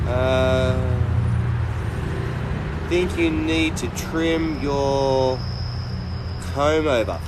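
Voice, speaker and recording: A middle-aged man livestreaming, chatting casually with viewers in a blunt, rambling, halting way. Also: fairly high pitch, very noisy. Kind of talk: casual live chat with viewers.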